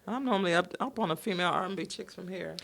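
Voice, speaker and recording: Fast-talking woman host, teasing. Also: lowish voice, creaky, a bit bright. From Detroit. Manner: teasing, slangy, quick back-and-forth. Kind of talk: casual chat.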